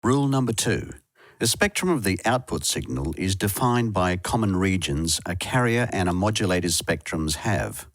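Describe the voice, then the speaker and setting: A man's voice: low-pitched, animated, moderate pace, no echo. A man presenting a tutorial in scripted instructional narration, recorded as a close, dry voice-over. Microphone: close dry voice-over microphone.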